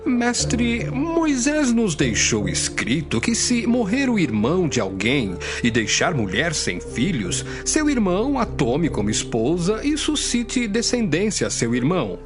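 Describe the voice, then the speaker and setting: A man's voice: high voice, huge pitch swings, measured pace, hardly any pauses, over music, full character voice. An actor playing a sceptical male religious challenger in a scripture audio drama, posing questions in a theatrical, sing-song manner. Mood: sly, sceptical.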